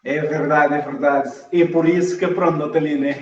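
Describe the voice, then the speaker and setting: A man with a slightly high voice talks informally as an interview guest. He sounds set back from the microphone in an echoey room, with a somewhat muffled online-call sound. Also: steady tone, normal speed. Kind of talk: casual interview talk. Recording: muffled, roomy, distant mic on stream.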